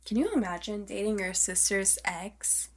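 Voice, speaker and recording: A young woman co-hosting a podcast in a casual, gossipy chat, animated and slangy, swearing freely. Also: medium pitch, moderate pace. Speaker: podcast co-host.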